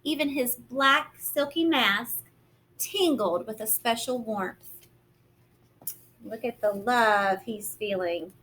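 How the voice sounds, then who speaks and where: A woman reading a picture book aloud as a kindergarten teacher, gentle and expressive, explaining simply to young children. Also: on the high side, takes it slowly, a little hiss or hum.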